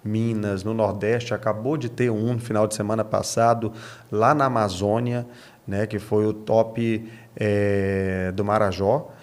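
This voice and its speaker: A man's voice: lowish voice, little pitch movement, darkish tone. Brazilian man in conversational interview. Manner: halting, often seeking agreement with tags.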